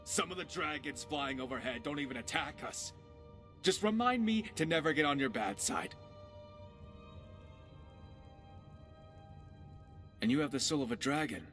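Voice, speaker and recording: An actor playing a roguish, witty pirate companion in a video game, talking in a wry, philosophical, conversational way. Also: male voice, on the high side, sing-song, fairly quick, a bit bright, faint music behind. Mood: earnest, pointed.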